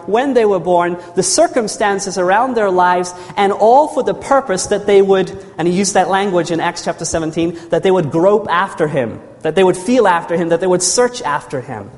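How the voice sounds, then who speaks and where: A man's voice: high voice, darkish tone. A man from New Zealand preaching a sermon in a church hall. He tells his story earnestly, repeats phrases and calls for amens. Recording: long reverb of a large hall.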